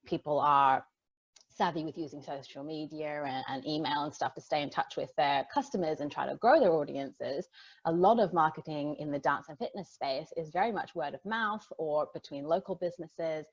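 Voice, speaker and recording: A low-voiced woman hosts in a thoughtful way, often pausing to restart her sentences. The sound is dull and lo-fi. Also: slightly rough. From Australia. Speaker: host, digital marketer. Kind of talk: casual conversation.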